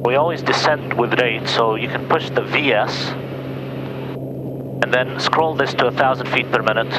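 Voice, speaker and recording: Male pilot instructor, mid-pitched, clear matter-of-fact explanations, heard through a headset over heavy aircraft drone. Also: moderate pace. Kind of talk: cockpit instruction and radio calls. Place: inside a small turboprop cockpit in flight. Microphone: aviation headset. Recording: loud aircraft cabin noise. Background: loud turboprop engine drone.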